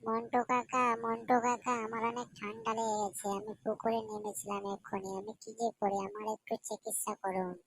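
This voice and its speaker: A woman's voice: a little high, flat delivery, fairly quick, hardly any pauses. Woman voicing taunting cartoon village boy. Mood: pleading. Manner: taunting, argumentative, colloquial. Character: bickering, boastful village boys, cheeky childhood friends. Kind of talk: comic cartoon dialogue.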